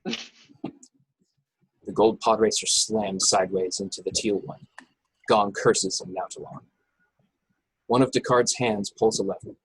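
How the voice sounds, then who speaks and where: A man's voice: on the low side, fairly even intonation, takes it slowly, long pauses, breathy edge, no echo, rough recording. A man reading scripted action descriptions as narrator in an informal, unpolished way on an amateur online table read. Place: video call from a room.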